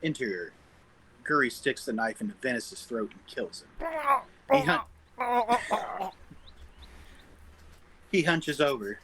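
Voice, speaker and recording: A man's voice: medium pitch, big pitch swings, takes it slowly, pauses often, background noise. A man reading stage directions as the narrator of a scripted table read.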